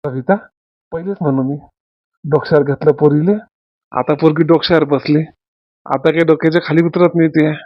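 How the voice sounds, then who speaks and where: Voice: mid-range voice, fairly even intonation, fairly quick, pauses often, dry recording, highs cut off, lightly acted. A performer voicing a resigned, grumbling farmer husband in a family comedy cartoon. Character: weary, resigned village farmer husband and father.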